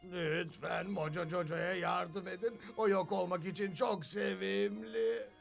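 Gravelly high-pitched monkey villain. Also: male voice, fairly even intonation, measured pace, soft background music, exaggerated voice. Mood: pleading. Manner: pompous, speaks of himself in third person. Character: sinsi, scheming male monkey villain, boastful and dramatic. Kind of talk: cartoon dub acting.

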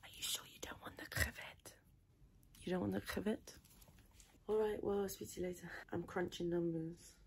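Soft, low, creaky-voiced woman doing ASMR. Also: steady tone, normal speed. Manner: rambling, improvised, chaotic chatter. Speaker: ASMR creator playing a tailor. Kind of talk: ASMR personal attention role play.

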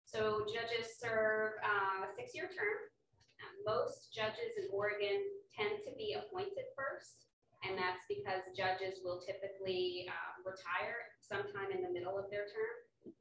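A young woman, a circuit court judge, explaining the courts in a quiet voice with many ums. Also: medium pitch. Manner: soft-spoken, many ums, explaining plainly. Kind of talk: informal talk to a meeting. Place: hotel dining room, indoors. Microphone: far room camera. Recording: echoey room from far off.

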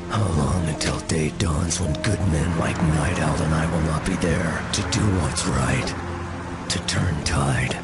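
An actor playing a grim, terse masked vigilante in a video game, growling in clipped deadpan phrases with dropped articles. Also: male voice, extremely low pitch. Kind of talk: video game cutscene acting. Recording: loud music behind the voice. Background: loud ominous music.

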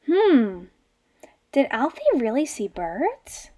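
Young female preschool teacher, high voice, very lively intonation, story read-aloud. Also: takes it slowly. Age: young adult. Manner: expressive, addressing small children with questions. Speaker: children's literacy teacher.

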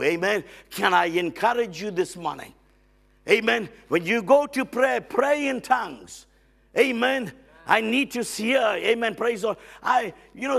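A middle-aged man preaching a lively sermon as a guest on a church stage, loud and excitable, with frequent amens and hallelujahs. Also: high-pitched, creaky. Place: church stage with band instruments.

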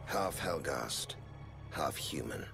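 Very deep, slow, cynical spy. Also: male voice, expressive, creaky, music in the background, full character voice. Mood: cool, guarded. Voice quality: very deep, hushed. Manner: slow, terse, cool. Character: guarded, cynical half-human male spy, very calm. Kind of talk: video game cutscene acting.

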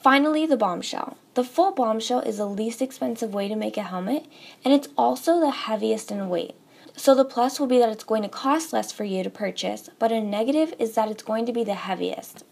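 A young woman talks viewers through a product guide in a bright, scripted, upbeat way. Her voice sits a little high and often slips into vocal fry. It sounds like a studio voice-over. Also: fairly quick. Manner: upbeat, direct address to viewers. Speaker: store presenter. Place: studio with a table, voice-over for most of the video.

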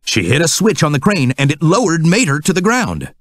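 A man's voice: on the high side, huge pitch swings, brisk. A man reading scripted narration for a children's read-along storybook.

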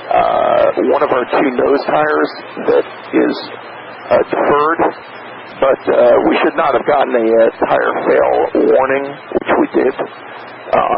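A man with a heavily fry-laden voice speaks as a pilot over the radio. His phrasing is halting and his pitch varies widely. The transmission is dull with slight noise. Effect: cockpit radio transmission. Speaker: cargo airline pilot. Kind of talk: radio readbacks and reports. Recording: dull, noisy aviation radio audio.

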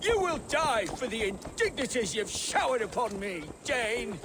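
High-pitched, haughty captured Saxon lord. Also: male voice, big pitch swings, unhurried, heavily acted. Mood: defiant, furious. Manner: haughty, spitting insults, theatrical. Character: defiant, scornful captured Saxon thane, middle-aged man. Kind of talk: dramatic game cutscene acting. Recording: game audio over noisy ambience.

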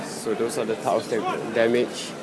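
A man giving halting, unscripted commentary as he films outdoors on a handheld device in a busy city street. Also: medium pitch, fairly even intonation. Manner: halting, searching for words. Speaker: amateur video maker filming a street scene. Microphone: handheld phone or camera, held by the speaker. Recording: noisy busy city street, traffic and crowd.

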